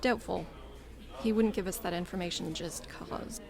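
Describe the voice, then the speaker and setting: A woman's voice: fairly low pitch, normal speed, vocal fry, crowd noise. A woman playing an exasperated, sarcastic sister in a space biker crew, speaking dryly in an audio drama. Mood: irritated, skeptical. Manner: dry, sarcastic. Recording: faint music under the voice.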